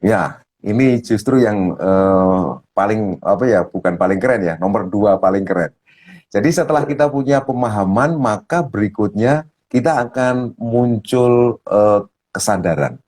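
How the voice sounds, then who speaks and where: A man speaking as a coach, explaining ideas step by step in a slow, thoughtful way with many fillers. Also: on the low side, a little mellow. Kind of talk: explaining ideas in a talk show.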